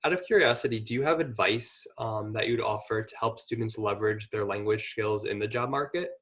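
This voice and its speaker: A man's voice: fairly low pitch, fairly quick, a bit bright. A young man interviewing a guest over a video call, speaking in a friendly, affirming, casual way. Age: young adult.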